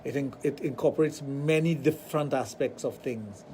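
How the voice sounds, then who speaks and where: A man with a Trinidadian accent, hosting a radio talk show and telling animated stories with acted-out dialogue. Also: mid-range voice, normal speed, a little hiss or hum. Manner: animated storytelling, acts out dialogue. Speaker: radio show host, sexologist.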